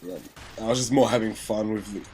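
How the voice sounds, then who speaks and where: A man's voice: fairly low pitch, brisk, warm tone, slight background noise. Young man rambling, nose still stuffy. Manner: rambling, lots of fillers and restarts. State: stuffy nose, sore voice after illness. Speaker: gaming commentator. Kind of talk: casual game commentary.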